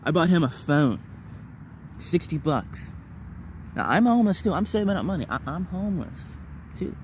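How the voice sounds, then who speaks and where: A man's voice: high-pitched, animated, normal speed, background noise. A man telling personal stories casually to viewers, rambling and repeating words and phrases in bursts. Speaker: vlogger.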